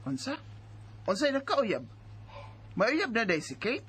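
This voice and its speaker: A woman's voice: high voice, big pitch swings, unhurried, a bit bright, a little hiss or hum, heavily acted. A woman acting a strict, worried middle-aged mother who scolds with indignant exclamations in a Bisaya radio drama. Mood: surprised.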